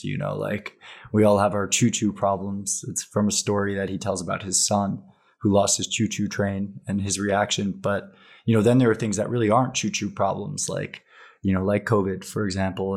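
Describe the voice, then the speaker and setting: Low, gravelly man hosting a chat. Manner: relaxed, rambling, many fillers. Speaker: podcast host. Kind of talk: interview conversation.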